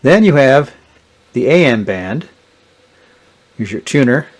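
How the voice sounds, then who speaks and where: A man's voice: mid-range voice, very animated, takes it slowly. A man giving a casual, unscripted hands-on product walkthrough in a plainspoken, explanatory manner. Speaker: gadget reviewer. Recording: clear background noise and clicks.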